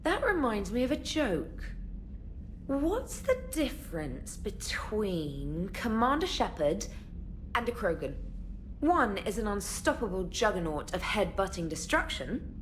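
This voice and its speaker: A woman's voice: medium pitch, sing-song, measured pace, a little hiss or hum, rough recording. A woman playing a witty, playful young military comms specialist in a video game, with a wry, articulate, teasing manner. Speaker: comms officer. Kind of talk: video game dialogue acting.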